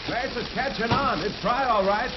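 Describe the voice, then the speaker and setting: An actor playing a plain-spoken cowboy hand with clipped rustic replies in a 1930s radio Western. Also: male voice, extremely high pitch, normal speed. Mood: busy. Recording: dull, very noisy 1930s radio recording.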